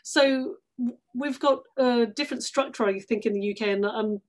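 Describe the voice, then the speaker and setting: A woman's voice: fairly high pitch, normal speed. A middle-aged woman from London with a British accent, talking effusively and emphatically as an interview guest. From London, England. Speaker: guest, stage actress. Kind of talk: conversational interview.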